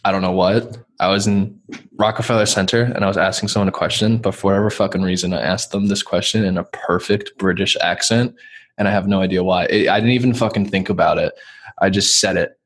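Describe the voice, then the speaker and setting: A New York man hosts the chat with rambling, friendly asides and offhand swearing. His voice sits fairly low for a man, and a New York accent colors his easygoing talk. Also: fairly even intonation, fast talker. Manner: rambling, chummy, swears casually. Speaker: interviewer. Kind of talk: casual interview.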